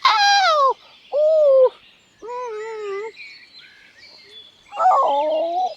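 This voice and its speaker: Kids' puppet show: young capybara girl, small high voice, animated swings, inquisitive and sweet. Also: very slow, lo-fi sound, heavily acted. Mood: hurt. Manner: inquisitive, simple short replies. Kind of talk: children's puppet show acting.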